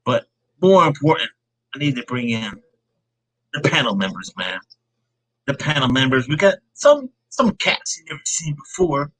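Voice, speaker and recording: A man's voice: mid-range voice, big pitch swings, moderate pace, pauses often, fairly bright tone, rough recording. A man hosting a casual comic book live stream panel, laid-back and peppering his talk with "man.".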